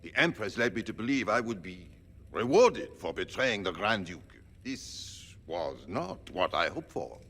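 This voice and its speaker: Nobleman, male: flustered, desperate pleading, bright and animated, long reverb. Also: fairly low pitch, a little slow, slight background noise, heavily acted. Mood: embarrassed. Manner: anxious, pleading. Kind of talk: video game cutscene dialogue.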